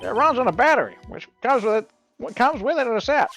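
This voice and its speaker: A performer voicing a scruffy, eager, bumbling male police detective with a folksy, excitable manner in a live game fan dub. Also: mid-range voice, quick pace. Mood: helpful, matter-of-fact. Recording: music behind the voice.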